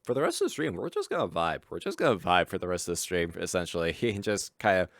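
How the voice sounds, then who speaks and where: A man's voice: sits low, very animated, fast talker, fairly bright tone, no echo, studio quality. A man chatting casually while streaming a game, laid-back and rambling.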